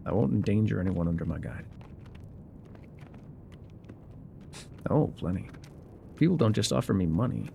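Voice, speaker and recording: Male actor as haunted, laconic frontier guide for immersive ASMR drama; gravelly, calm and folksy with expressive swings, slight rumble behind. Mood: matter-of-fact. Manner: unhurried, musing, folksy, trailing pauses. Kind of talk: immersive ASMR roleplay monologue.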